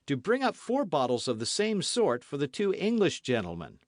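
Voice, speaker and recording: A man voicing an earnest young male musketeer with a lively, raised voice. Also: fairly high pitch, normal speed, dry recording, clean studio sound, voice pushed up.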